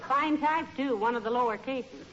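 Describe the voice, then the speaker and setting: A woman's voice: sits high, moderate pace, fairly bright tone. A woman with an American accent playing a patient, wry middle-aged wife with a dry teasing manner in a 1940s radio comedy. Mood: wry, amused. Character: patient, wry wife who gently needles her husband. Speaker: comedy co-lead actor. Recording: dull, hissy old-time radio recording.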